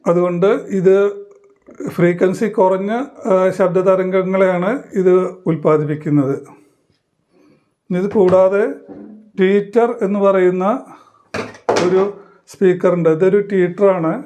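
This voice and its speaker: A man explaining a hands-on demonstration in halting, short phrases, like a tutorial presenter. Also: high voice, fairly even intonation, mellow.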